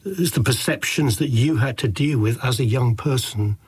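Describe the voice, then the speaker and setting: A man hosting a podcast, his voice husky yet deep and comforting. He asks long, winding questions in a measured, thoughtful way. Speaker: podcast host. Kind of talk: interviewing a guest.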